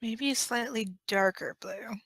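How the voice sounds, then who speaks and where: A woman's voice: on the high side, unhurried, fairly bright tone, slightly rough, dry recording, clean studio sound, exaggerated voice. A woman voicing a playful, pleading, dramatic young man in a fan-made audio drama. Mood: thoughtful. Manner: teasing, dramatic.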